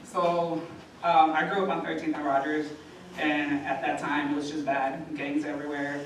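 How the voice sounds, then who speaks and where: A man's voice: a little high, little pitch movement, moderate pace. Man, plain-spoken police officer, casual panel answer, distant mic, heavy echo. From Puerto Rico and Milwaukee. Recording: echoey hall, distant mic, background noise.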